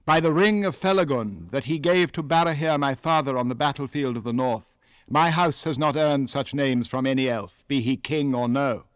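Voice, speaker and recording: An English man acts out a proud mortal hero of legend with an English accent. He declaims boldly and without bowing, his pitch lifted in defiance and each phrase firmly paced. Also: moderate pace, no room sound, studio quality, close to an everyday voice. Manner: bold, declamatory, unbowed.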